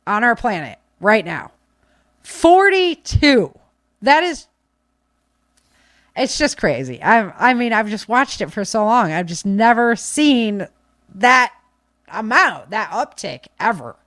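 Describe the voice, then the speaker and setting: A woman's voice: a little high, dry recording, clean studio sound. An American woman hosting a podcast, talking casually and off the cuff in an emphatic, conversational way. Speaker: podcast host. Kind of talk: casual unscripted podcast commentary.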